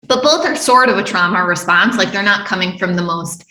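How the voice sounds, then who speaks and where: A woman's voice: mid-range voice, lively intonation, brisk, bright, forceful tone, echoey room. A woman hosting an interview podcast, upbeat and effusive as she introduces her guest and asks questions. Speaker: podcast host.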